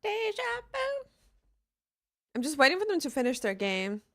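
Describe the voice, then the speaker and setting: A woman's voice: sits high, huge pitch swings, moderate pace, fairly bright tone, dry recording, clean studio sound. A young woman from Finland, chatting casually with her live-stream chat while she plays games. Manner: chatty, answers chat offhandedly.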